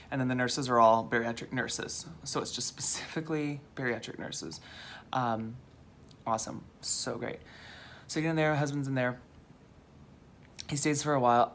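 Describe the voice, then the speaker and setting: Chatty man with a high voice. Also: fairly bright tone, slight background noise. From Minnesota. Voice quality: slightly hoarse. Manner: chatty, rambling, self-deprecating. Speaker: vlogger. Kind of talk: casual storytelling vlog.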